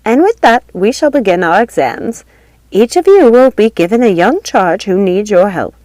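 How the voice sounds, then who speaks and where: A woman's voice: mid-range voice, very animated, moderate pace, a little mellow, dry recording, pitched above the performer's own voice, close to an everyday voice. A woman voicing a stately elderly fairy godmother who addresses students in a grand, formal way. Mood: instructing.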